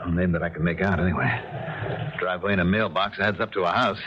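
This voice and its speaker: A man's voice: low voice, quick pace, lightly acted. An actor playing an irritable ordinary man lost in a storm, muttering to himself in the style of 1970s radio drama. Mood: anxious, muttering. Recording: dull noisy 1970s radio recording, storm noise.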